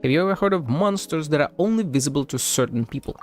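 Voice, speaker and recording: A woman with a remarkably low voice comments casually as she streams a game. Her delivery is animated, teasing and irreverent, full of slangy asides. Faint music sits behind her. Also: fast.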